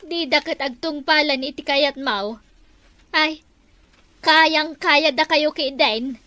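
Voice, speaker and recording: A woman's voice: sits high, takes it slowly, bright, forceful tone, no echo, exaggerated voice. A woman with an Ilocano accent playing a deferential village councillor in a comedy drama.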